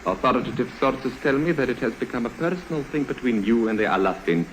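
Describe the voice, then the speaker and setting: An actor playing a formal, scheming foreign baron who speaks precisely and insistently in a 1950s radio drama. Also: male voice, medium pitch, lively intonation, quick pace, lightly acted. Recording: noisy old 1950s radio recording.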